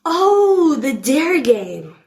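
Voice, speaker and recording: Woman playing an excitable, high-voiced jumpy kid. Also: drawn-out words, gravelly, exaggerated voice. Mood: animated. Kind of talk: amateur audio drama acting.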